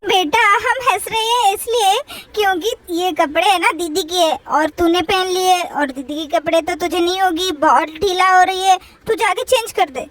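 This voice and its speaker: An anxious, affectionate Indian-family-drama mother, acted out by a woman storyteller, fussing over her daughters. The voice is extremely high and bright, full of exclamations in a play-acted story. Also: normal speed, hardly any pauses. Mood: explaining.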